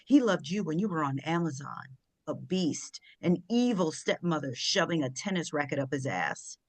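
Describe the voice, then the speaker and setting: A woman's voice: low-pitched, lively intonation, moderate pace, no echo. A woman at a script table read, playing an imperious, sarcastic woman who takes command. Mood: fierce, commanding.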